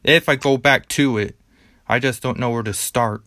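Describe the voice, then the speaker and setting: Male guest, casual, hesitant, bright mid voice, dry recording. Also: medium pitch, steady tone, moderate pace, dry recording. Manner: hesitant, searching for words. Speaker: podcast guest. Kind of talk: casual chat.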